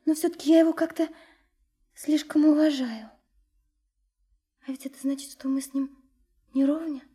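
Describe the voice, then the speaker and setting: An actress in a radio drama playing a sincere, lovesick young woman, soft and hesitant, often dropping into a breathy whisper. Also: sits high, fairly even intonation, normal speed, lightly acted. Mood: earnest, confiding. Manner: hesitant, earnest, confiding. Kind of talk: intimate radio drama acting.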